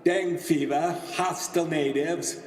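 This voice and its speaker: An actor playing a sceptical, needling 1920s scholar with pointed delivery in a radio play. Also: male voice, a little high, steady tone, measured pace, a little mellow, a little hiss or hum, close to an everyday voice. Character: doubting, needling male 1920s society scholar. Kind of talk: stage-style radio play acting. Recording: echoey hall with long reverb.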